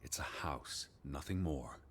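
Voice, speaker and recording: An actor playing a weary, skeptical 1920s American naturalist in a video game, speaking in a measured, dry, reflective way. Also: male voice, very deep voice, whispered. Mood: dismissive.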